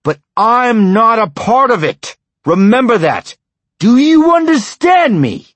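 A man's voice: sits low, fairly even intonation, unhurried, a little mellow, slightly rough, no room sound. A man voicing a furious middle-aged husband with a limp, roaring and bellowing.

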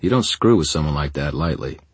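Guy putting on a gruff gang boss, sandpaper growl, low, calm and talking down to you, audiobook style. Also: no echo, full character voice. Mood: calm warning. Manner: measured, condescending.

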